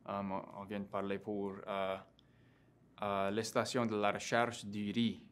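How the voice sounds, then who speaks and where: A young man in his twenties with a Cajun French accent, talking as an interview guest, halting and full of fillers and restarts. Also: lowish voice, completely flat delivery, fairly bright tone, a little hiss or hum. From Louisiana. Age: 20s. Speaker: interview guest, graduate student. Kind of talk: casual interview conversation.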